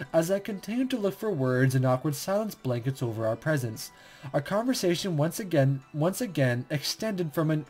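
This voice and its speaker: Young gaming host, mid-pitched, informal commentary mixed with read-aloud narration over faint music. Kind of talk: casual game playthrough, reading story text aloud.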